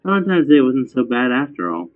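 Guy reading fanfic out loud, jokey comments, lo-fi thin mic, soft guitar music in back. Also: mid-range voice, sing-song, brisk. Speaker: fan fiction reader and commentator. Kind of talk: casual narration with joking asides. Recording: thin, phone-like lo-fi audio.

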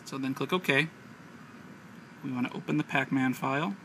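Bright-voiced American man giving a tutorial. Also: medium pitch, moderate pace. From United States. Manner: explanatory, step-by-step instruction. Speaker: tech tutorial presenter. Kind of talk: unscripted hands-on tutorial narration. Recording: noisy amateur handheld camera audio.

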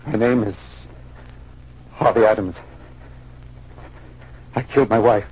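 An actor in a 1940s radio drama playing a guilt-ridden man cracking into terrified madness, halting and repeating himself. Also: lowish voice, steady tone, a little mellow, husky. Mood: broken, confessing. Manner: halting, repetitive, overwrought. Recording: noisy 1940s radio recording.

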